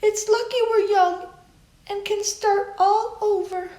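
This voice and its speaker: A woman's voice: very high voice, fairly even intonation, takes it slowly, darkish tone, roomy sound, voice pushed up. A woman voicing a grateful, hopeful grandmother in a children's storybook. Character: grateful, hopeful grandmother addressing her neighbors. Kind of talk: storybook character voice.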